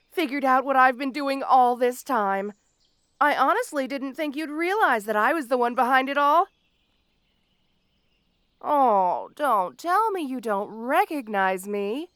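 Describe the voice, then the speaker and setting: A woman playing a petty, obsessed female supervillain in an audio roleplay, theatrical and taunting, with mock-sweet, drawn-out words. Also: sits high, a bit bright, clean tone, no echo, clean studio sound, exaggerated voice. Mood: smug boasting. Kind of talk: scripted audio roleplay addressed to the listener.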